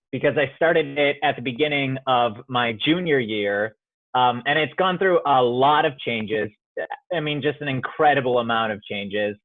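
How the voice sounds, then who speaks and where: A man's voice: medium pitch, no echo. Young man on a tinny call line. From Iowa, US. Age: young adult. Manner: rambling, restarts thoughts often. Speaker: student playwright. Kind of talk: remote interview conversation. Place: home studio apartment. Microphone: phone or video call. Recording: dry tinny phone line.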